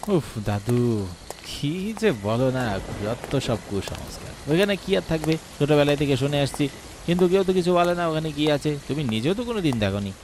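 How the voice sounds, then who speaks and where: A man's voice: a little high, expressive, moderate pace, background noise, pitched above the performer's own voice, close to an everyday voice. A man voicing a stubborn, curious young village grandson in a horror cartoon, arguing and pleading. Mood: dismissive. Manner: pleading, dismissive of superstition. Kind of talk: Bengali horror cartoon dialogue.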